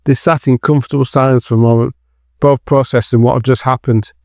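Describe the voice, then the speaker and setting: A man's voice: mid-range voice, little pitch movement, a little fast, darkish tone, no room sound, studio quality. A man reading scripted narration for an audio drama as its narrator.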